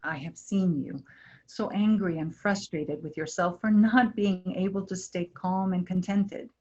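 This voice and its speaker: A woman plays a gentle, wise river spirit in a staged play. She speaks very slowly and instructively, in a lowish voice. The sound is a little dull and lo-fi. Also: steady tone. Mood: tender, encouraging. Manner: measured, earnest, instructive. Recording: dull lo-fi recording.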